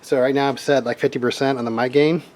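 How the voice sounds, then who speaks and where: A man's voice: medium pitch, fairly even intonation, quick pace, a little mellow, slight background noise. A man giving a casual hands-on tech demo as a hobbyist, talking informally and rambling as he thinks aloud. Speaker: hobbyist radio operator demonstrating equipment.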